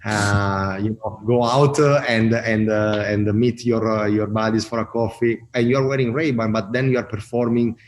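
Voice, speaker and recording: Italian man, lowish voice, slow halting guest answers with lots of "uh", faint hiss behind. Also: fairly even intonation. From Italy. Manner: halting, many fillers and restarts. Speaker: brand marketing guest. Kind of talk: casual interview talk.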